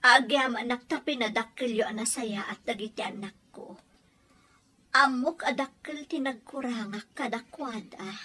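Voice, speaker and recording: A woman's voice: a little high, measured pace, bright, forceful tone, a little hiss or hum. A woman acting an emotional, plaintive wife and mother in an audio drama, with a Filipino accent. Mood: subdued, regretful.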